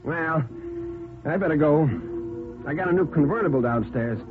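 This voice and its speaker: A man's voice: on the high side, lively intonation, normal speed, over music, voice pushed up, lightly acted. A man acting an unctuous, self-righteous office colleague in a radio play, falsely chummy and hemming as he goes. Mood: breezily pleased. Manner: hesitant, giggly, oily hemming. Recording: tinny band-limited vintage radio recording.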